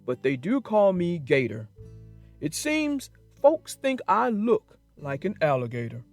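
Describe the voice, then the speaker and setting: A big gentle engine rumbles low and steady, as a woman puts him on, calm and wise. He speaks with a changed, darker timbre. The voice is noticeably lower than the reader's own and pushed forward with warm force. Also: a little slow, no echo, soft background music. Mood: friendly.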